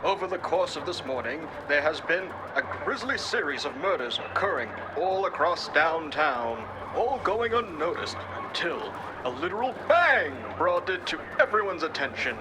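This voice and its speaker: Man playing an excitable, high-pitched breaking-news reporter. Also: normal speed, few pauses, noisy background. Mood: dramatic, urgent. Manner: theatrical, punchy, sardonic. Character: excitable, sensationalist male breaking-news street reporter in a cyberpunk city. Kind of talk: dramatic live news broadcast acting.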